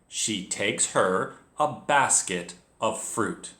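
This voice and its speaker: A man leading a children's read-along lesson, speaking directly to the learner and narrating clearly as a storyteller. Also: on the low side, drawn-out words. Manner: expressive, addresses the learner directly. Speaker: children's reading teacher, storyteller. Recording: echoey room.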